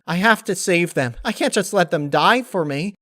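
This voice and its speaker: Man playing a female pony mother, troubled, determined, earnest pleading, raised pitch, dark storybook character, dry sound. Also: expressive, moderate pace, no room sound. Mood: earnest, upset.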